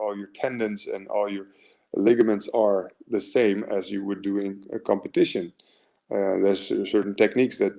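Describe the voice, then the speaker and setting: A man in his forties with an American accent speaks in a low, gravelly, mellow voice. He is a mental performance coach giving a motivational webinar talk. He is earnest and rambling, and often restarts his sentences.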